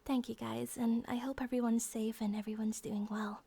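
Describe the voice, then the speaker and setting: High-pitched woman, chatty channel host. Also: little pitch movement, moderate pace, creaky, warm tone, breathy edge. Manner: chatty, informal, affectionate toward listeners. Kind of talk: casual channel intro addressed to viewers.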